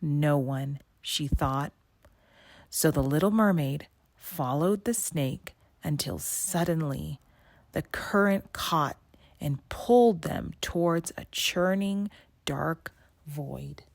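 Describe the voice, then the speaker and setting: A woman reads a storybook aloud with expression. Her voice is low and she takes her time over each phrase. The recording is dry, with no room sound. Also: slow pace, gravelly, no echo. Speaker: storybook reader. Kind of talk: expressive children's read-aloud narration.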